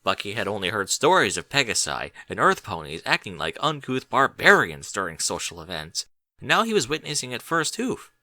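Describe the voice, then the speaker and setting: A man's voice: on the low side, wildly swooping pitch, brisk, pushed and bright, no room sound. A man reading a story aloud as scripted narration. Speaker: audiobook reader of fan fiction.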